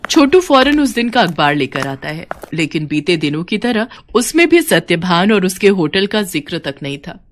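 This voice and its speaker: A woman narrating a scripted moral story in an expressive storytelling manner. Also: lowish voice, moderate pace, few pauses.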